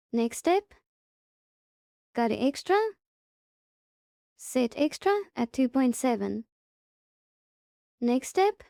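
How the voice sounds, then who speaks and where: Slow, high-voiced woman giving instructions. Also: darkish tone, no echo, studio quality. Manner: instructional, short simple sentences. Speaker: tutorial presenter. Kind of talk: step-by-step software tutorial.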